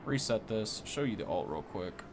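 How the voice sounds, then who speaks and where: A man's voice: on the low side, sing-song, moderate pace. A young man hosting a gaming video, thinking aloud in casual, rambling, unscripted commentary. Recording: clear background noise from game. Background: video game sounds.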